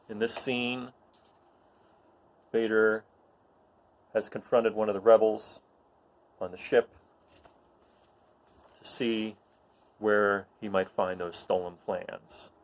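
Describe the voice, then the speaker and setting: A man casually introducing readings and setting scenes in a halting, conversational way. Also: lowish voice, fairly even intonation. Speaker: host, storyteller.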